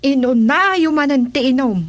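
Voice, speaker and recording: Mapagsermong asawa. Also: female voice, sits high, animated, unhurried, dry recording. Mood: scolding. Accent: Ilocano. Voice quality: scolding, nagging. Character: scolding nagging wife of a councilor. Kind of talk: radio drama acting.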